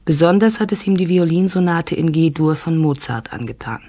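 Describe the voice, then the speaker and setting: A woman's voice: sits low, animated, moderate pace, dark tone. A woman playing a worried wife, reading her letter to her sister in an intimate, reflective audio-drama style. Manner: reflective, confiding.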